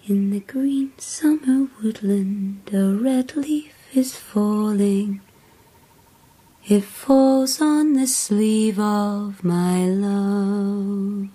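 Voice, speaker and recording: A woman's voice: mid-range voice, extremely slow, mellow, slightly rough. A woman playing a naive, eager young wild girl in a radio drama, with a direct, careful way of talking. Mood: dreamy.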